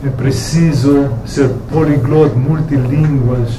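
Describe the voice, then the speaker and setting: A man with a Romanian accent, whose first language is Romanian, gives a halting thank-you speech as author at a book launch, often stopping to search for words. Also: mid-range voice, fairly even intonation. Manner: hesitant, searching for words, many restarts. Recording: echoey room, distant mic, noisy.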